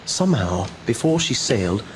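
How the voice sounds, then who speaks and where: Man playing a sober, low-voiced vampire hunter. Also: very animated, long reverb tail. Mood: businesslike. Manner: measured, formal, grave. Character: sober male vampire hunter reporting grim findings. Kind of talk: radio drama narration, formal report. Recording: very noisy radio play recording.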